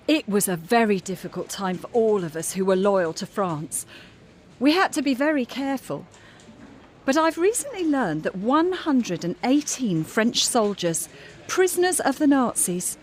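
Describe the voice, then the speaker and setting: Proud, imperious singer, highly expressive. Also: female voice, slight background noise. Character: proud, imperious famous female singer, self-justifying. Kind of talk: audio drama acting.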